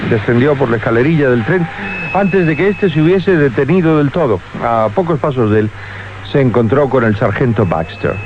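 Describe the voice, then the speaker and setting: A man's voice: medium pitch, expressive, moderate pace, noisy background. A man narrating a scripted radio mystery story in a dramatic style. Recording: dull old radio recording with music.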